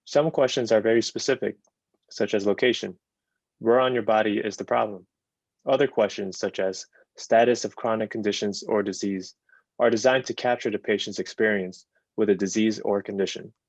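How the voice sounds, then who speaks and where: A man's voice: on the low side, fairly even intonation, moderate pace, dry recording. A man on a research panel giving a scripted, explanatory answer as if reading it out. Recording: dull, lo-fi, dry sound.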